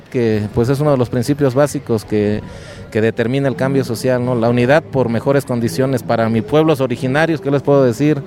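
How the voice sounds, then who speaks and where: A man's voice: mid-range voice, a little mellow. A man with a Mexican accent, a teacher giving an earnest interview at a street protest, speaking with many "eh" fillers. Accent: Mexican Spanish. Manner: earnest, measured, with frequent filler "eh" and "pues".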